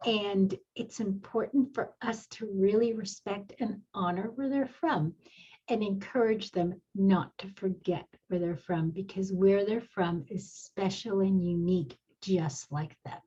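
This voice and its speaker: A woman from El Salvador speaks on a panel with earnest, emphatic conviction. Her voice is husky and slightly breathy. The audio is dull and lo-fi, like an online session. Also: medium pitch, unhurried. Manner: warm, earnest, emphatic advice. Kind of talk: panel talk on a video call.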